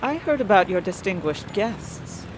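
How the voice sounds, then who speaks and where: A woman's voice: mid-range voice, very animated, unhurried, noisy background. A woman acting in an audio drama as a shrewd, imperious politician with a formal, clipped manner. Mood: cool, businesslike. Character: shrewd, imperious female stateswoman, coolly bargaining.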